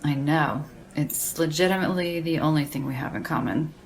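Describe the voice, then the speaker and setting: Young woman, very low pitch, gravelly, deadpan, teasing, slangy audio drama banter. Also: fairly quick. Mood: dry, matter-of-fact. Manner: dry, teasing, slangy, unimpressed. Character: deadpan, sardonic young woman who loves horror paperbacks.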